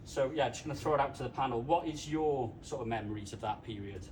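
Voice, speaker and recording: A man from Wales hosts a bookshop panel, chatting to the crowd with frequent ums and false starts. He sits back from the microphone, and the shop's steady hum runs clearly under his voice. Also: medium pitch, fast. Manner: chatty, lots of ums and restarts. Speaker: author hosting a book launch panel. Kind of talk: casual talk to a live audience. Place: bookshop event room. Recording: distant mic with room noise.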